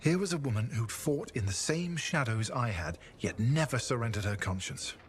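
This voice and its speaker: An actor playing a haunted, earnest ex-spy in a video game, with a measured, reflective delivery. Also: male voice, medium pitch, expressive, a little hiss or hum, natural voice. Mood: grave, reflective. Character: grave, haunted, earnest defected spy, grown man. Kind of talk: video game dramatic acting.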